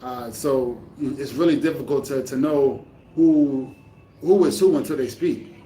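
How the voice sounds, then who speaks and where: A man's voice: mid-range voice, unhurried, warm tone, slight background noise. A man vlogging in a casual, rambling way, often restarting sentences as he thinks aloud. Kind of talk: casual talk to camera. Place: living in the Dominican Republic. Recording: echoey room from a distance, faint ambience.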